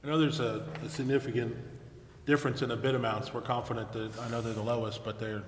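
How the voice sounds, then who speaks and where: A man's voice: medium pitch, level tone, quick pace. A man speaking as a council member in a formal public meeting, recorded in an echoey hall with background noise. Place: council chamber, indoors.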